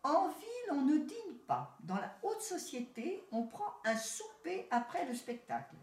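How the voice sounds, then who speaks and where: A woman's voice: sits high, sing-song, unhurried, a little mellow, voice pushed up. A woman voicing a haughty, boastful male city rat in a storybook tale, talking down to his cousin. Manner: proud, boastful, condescending. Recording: roomy echo.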